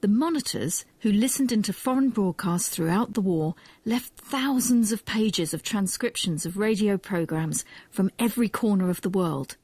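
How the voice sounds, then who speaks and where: A woman presenting a documentary in measured, articulate scripted narration. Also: mid-range voice, darkish tone, breathy edge, dry recording.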